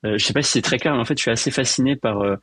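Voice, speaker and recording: A man's voice: mid-range voice, a bit bright, dry recording. A man hosting a podcast, asking rambling questions broken up by constant fillers. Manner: halting questions full of "euh". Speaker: podcast host. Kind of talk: casual conversation.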